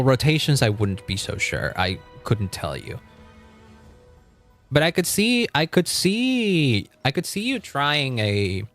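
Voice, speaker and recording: A man live-streaming a game, chatting casually and thinking aloud in an informal way, with casual swearing. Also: mid-range voice. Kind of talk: casual live-stream chat while gaming.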